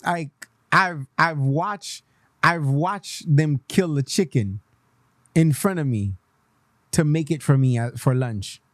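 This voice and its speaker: A man's voice: on the high side, slow, fairly bright tone, no room sound, studio quality. A young man from Haiti, hosting a radio show in a casual, passionate way, switching between English and Creole and repeating himself for emphasis. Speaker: radio host.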